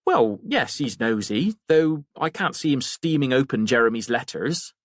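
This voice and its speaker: A man's voice: mid-range voice, sing-song, normal speed, no echo, clean studio sound, pitched above the performer's own voice. A man voicing a talkative, rambling working-class woman gossiping in an audiobook. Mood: chatty, matter-of-fact. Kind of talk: audiobook character voice.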